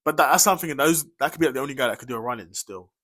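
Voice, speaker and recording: A man's voice: mid-range voice, animated, very fast, a bit bright. A young British man co-hosting a podcast in casual chat, informal and slangy, often repeating himself as he agrees. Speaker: podcast co-host. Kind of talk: casual chat about wrestling.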